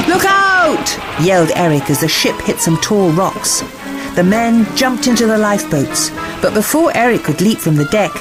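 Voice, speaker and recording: A woman narrating a scripted children's bedtime story in an expressive, theatrical storytelling manner. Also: medium pitch.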